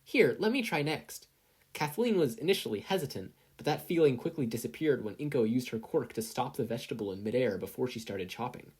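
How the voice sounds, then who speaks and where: A narrator reading a scripted story aloud in an expressive storytelling manner. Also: medium pitch, fast talker, hardly any pauses, husky. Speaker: podfic narrator.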